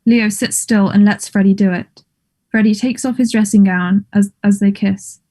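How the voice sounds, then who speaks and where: A woman with a mellow, mid-pitched voice narrates a play script. She reads out the stage directions for a table read. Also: fairly even intonation, moderate pace.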